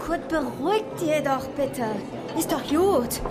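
High-voiced, coaxing young girlfriend. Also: animated, unhurried, music in the background, a crowd in the background. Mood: soothing, coaxing. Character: young Nazi's girlfriend, soothing and coaxing him. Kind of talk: German radio drama acting.

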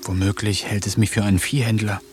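A man narrating a radio play as a diary, slow, subdued and introspective. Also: lowish voice, level tone, a little mellow, soft background music. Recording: noisy background. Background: ambient noise.

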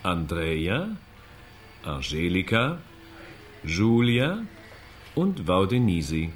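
A practical, composed hospital caregiver in a radio play. His voice is low and he informs his patient plainly, over faint background ambience. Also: big pitch swings, extremely slow, a little hiss or hum, exaggerated voice. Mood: reassuring. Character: calm, matter-of-fact male hospital caregiver informing a patient. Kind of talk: radio drama dialogue.

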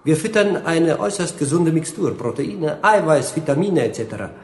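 An actor playing a smug young general-dictator in a German radio drama, gushing with oily familiarity and rambling on with jovial menace. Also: male voice, on the high side, lively intonation, moderate pace. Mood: smug, proud. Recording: roomy, echoing studio scene.

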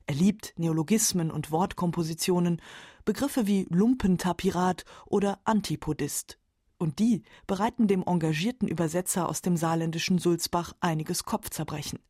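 A woman narrating a scripted radio documentary in a calm, factual manner. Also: on the low side, moderate pace, breathy edge, dry recording, studio quality. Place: dry radio studio.

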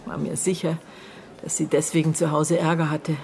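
A middle-aged woman with a lowish voice, guarded and bitter in a radio play. She speaks haltingly in short, broken phrases, as if digging through painful memories. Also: little pitch movement, gravelly, darkish tone, slight background noise. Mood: reflective, guarded. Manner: terse, halting, broken phrases with thoughtful pauses. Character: murdered girl's aunt, bitter, guarded, searching her memory. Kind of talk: naturalistic German radio drama acting.

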